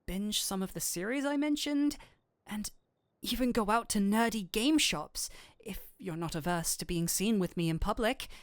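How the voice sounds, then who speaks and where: A woman's voice: mid-range voice, dry recording, studio quality. A woman playing a nerdy male incubus demon in an intimate audio roleplay, speaking to the listener in formal, theatrical wording with a flustered, courteous, flirtatious manner.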